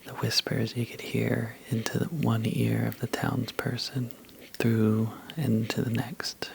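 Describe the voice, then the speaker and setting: A man telling a sleepy ASMR bedtime story in a soft, breathy half-whisper, halting and drowsy, with long pauses. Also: mid-range voice, completely flat delivery, a little hiss or hum.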